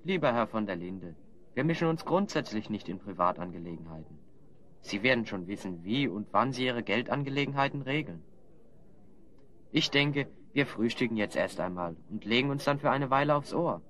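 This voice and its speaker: Animated, shrewd adventurer hero played by a man. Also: mid-range voice, moderate pace, lightly acted. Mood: calm, polite. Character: shrewd, self-assured adventurer hero, explaining clues. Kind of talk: German children's audio drama acting.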